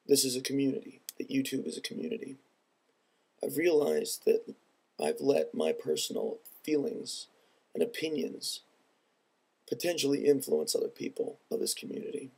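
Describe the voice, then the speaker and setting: A man speaking in an earnest, measured and reflective way in a personal vlog, his voice congested from a head cold. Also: very deep voice, gravelly, darkish tone, husky. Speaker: video blogger.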